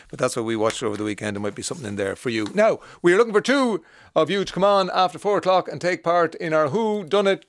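An Irish man hosting a radio talk show, chatting casually and reading promos with a sing-song lilt. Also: mid-range voice. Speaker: radio host.

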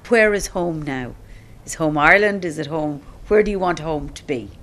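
A woman reporter asking gentle, probing interview questions. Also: low-pitched, moderate pace.

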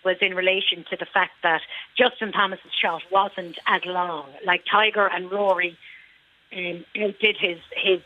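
A woman's voice: on the low side, fairly even intonation, measured pace. An Irish woman who is a golf club lady captain, talking chattily and emphatically on a radio phone-in and repeating her points. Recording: dull phone-line sound.

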